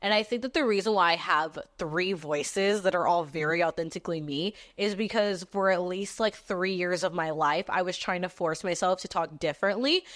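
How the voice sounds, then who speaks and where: Loud, outspoken young woman podcaster. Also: no echo, clean studio sound. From Texas. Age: 20s. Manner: animated, swears casually, shifts between squeaky and low voices. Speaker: podcast host. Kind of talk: casual monologue.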